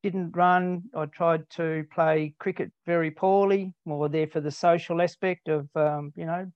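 A man's voice: a little high, fairly even intonation, unhurried, clear, resonant tone, no room sound. Rambling older Australian man, dry lo-fi sound. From Tasmania, Australia. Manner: rambling, self-deprecating, many ums. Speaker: interview guest. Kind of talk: casual conversational storytelling.

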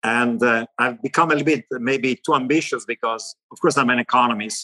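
A middle-aged economist with an Italian accent, answering interview questions in long animated lists. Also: male voice, fairly low pitch, low-quality recording. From Italy. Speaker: economist guest.

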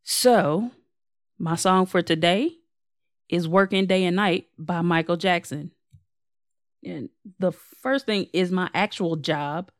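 A young woman, slightly hoarse, hosting her own podcast in a casual, candid and self-deprecating way. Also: on the low side, takes it slowly, no room sound, clean studio sound. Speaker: podcast host. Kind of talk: casual solo podcast update.

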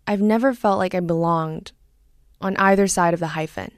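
Soft-spoken woman host, reflective. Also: fairly low pitch, normal speed, no room sound, clean studio sound. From Korea. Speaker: podcast host and interviewer. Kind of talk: reflective documentary narration and phone interview.

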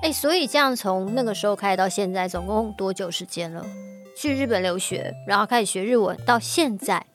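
A woman's voice: mid-range voice, animated, fairly quick. Playful Taiwanese woman podcast host. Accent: Taiwanese Mandarin. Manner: playful, teasing. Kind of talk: casual chat. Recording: music playing underneath.

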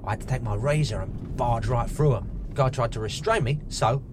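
A man plays a brash cannibal butcher on the run in an audio drama. He is cocky and blunt but easily rattled, talking in colloquial bursts. Car and road noise runs clearly behind him. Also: medium pitch, moderate pace, close to an everyday voice. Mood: boastful.

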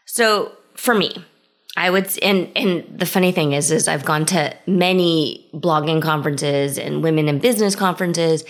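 Low-voiced woman hosting a podcast. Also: moderate pace. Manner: conversational, reflective, starts many sentences with "so". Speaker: podcast host. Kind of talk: solo podcast monologue.

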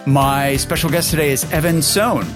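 A man's voice: on the high side, steady tone, normal speed, music in the background. A man from Canada with a mixed Canadian and British accent, hosting a podcast interview and reading a friendly scripted introduction. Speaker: podcast host.

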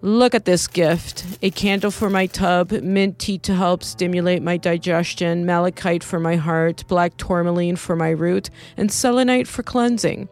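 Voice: medium pitch, faint music behind, natural voice. A woman doing a serene neighbor with a mild accent. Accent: mild unplaced foreign accent. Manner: calm, unhurried, gentle.

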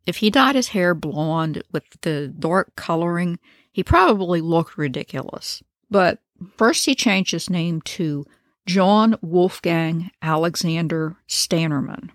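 A woman narrates a true crime story in a low, relaxed register. Her delivery is conversational and wry, full of offhand asides. The recording is dry and close, with no room sound. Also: dry recording. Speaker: true crime podcast host.

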